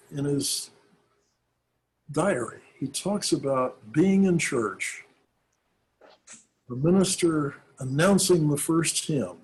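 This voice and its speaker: A man, a minister, giving an informal talk on church history, thoughtful and halting with many pauses. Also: a little high, husky, low-quality recording.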